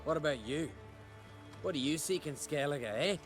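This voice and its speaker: Male actor as scornful island scavenger, fairly high voice, blunt salty folksy talk, fantasy game, music behind. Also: expressive, moderate pace, natural voice. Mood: gruff, challenging. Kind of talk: cinematic game dialogue.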